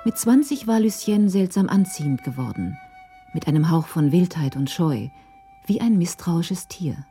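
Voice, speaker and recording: A woman's voice: lowish voice, expressive, moderate pace, dark tone, faint music behind. A woman giving scripted narration in a radio crime drama.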